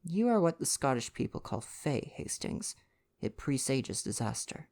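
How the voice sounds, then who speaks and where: Man acting small grave storybook detective, slow deliberate phrasing, creaky voice, expressive pitch movement. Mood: grave foreboding. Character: grave, measured little male detective in a storybook.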